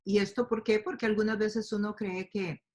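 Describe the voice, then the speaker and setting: A woman teaching a Bible study to a group, speaking warmly and explaining with fillers and questions. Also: mid-range voice, level tone, normal speed. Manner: speaks to a group, asks them to open their Bibles, many fillers like "eh" and "este". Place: lives in the United States.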